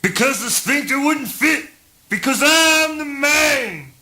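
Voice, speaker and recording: Shrill, squawking brash boy. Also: lively intonation, slow pace, sharp tone, exaggerated voice. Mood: brash, defiant. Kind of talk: theatrical spoken word play.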